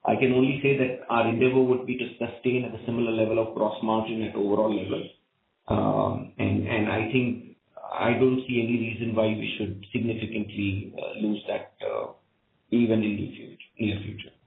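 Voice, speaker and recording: Creaky man on an echoey phone line. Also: lowish voice, moderate pace. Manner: frequent "right?" check-ins. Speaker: company executive answering analysts. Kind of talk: earnings call answers, explanatory business talk. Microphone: conference call line. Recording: phone-like narrow band, echoey conference line.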